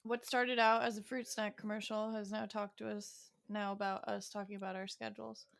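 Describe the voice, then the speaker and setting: A woman's voice: medium pitch, level tone, a little fast, gravelly, a bit bright, no echo. A woman co-hosting a podcast, chatting casually in a playful, informal way. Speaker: podcast co-host. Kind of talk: casual banter.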